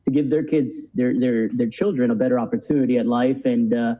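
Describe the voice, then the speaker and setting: A man's voice: medium pitch, fairly even intonation, fairly quick, narrow, tinny audio. A man in his thirties from Cuba, raised in the USA, chatting reflectively as a podcast guest with frequent "you know". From born in Cuba, moved to the USA as a child. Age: 30s. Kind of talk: casual conversational interview.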